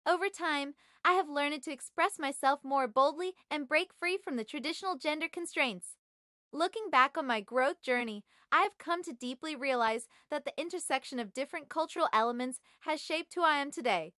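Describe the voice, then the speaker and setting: High bright Chinese woman reading a presentation. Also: no room sound, clean studio sound. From China. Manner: measured, evenly read. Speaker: graduate student. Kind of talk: scripted presentation.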